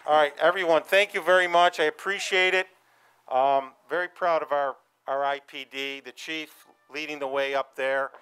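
Smooth-voiced man leading a formal oath. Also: fairly high pitch, steady tone, fairly bright tone. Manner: measured, reads the oath phrase by phrase. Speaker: town official administering an oath. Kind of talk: formal oath, then short thanks.

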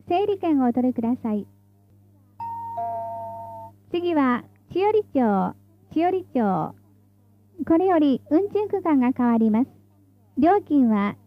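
A woman's voice: sits high, no echo, phone-quality sound. A woman reading scripted tram announcements in a formal, polite, carefully enunciated way, as the stop announcer.

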